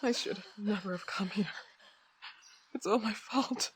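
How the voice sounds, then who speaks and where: An actress playing a grave, regretful woman in a game cutscene, speaking earnestly and somberly in a husky voice. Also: mid-range voice, measured pace, a little hiss or hum, lightly acted, poor audio. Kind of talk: dramatic game cutscene acting.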